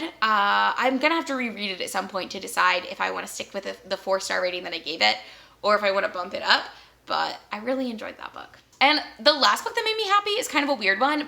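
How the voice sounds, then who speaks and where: Young woman rambling about books, upbeat, bit of vocal fry. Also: fairly high pitch, sharp tone. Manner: chatty, upbeat, laughs easily, rambling asides. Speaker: book vlogger. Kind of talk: casual chat about books.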